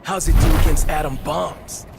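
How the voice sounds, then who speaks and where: A man's voice: on the high side, fairly even intonation, normal speed, creaky. A man playing a cocky, eager young superhero in a video game, brash and boyish in his banter. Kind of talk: video game character dialogue. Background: loud music.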